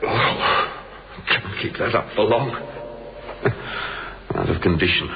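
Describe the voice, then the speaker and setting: An actor with a British accent playing a grumbling trapped man in a radio drama, muttering fussily and haltingly to himself. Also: low voice, gravelly, full character voice. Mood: anxious. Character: grumbling man trapped alone in a cold store. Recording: muffled, noisy old radio drama recording.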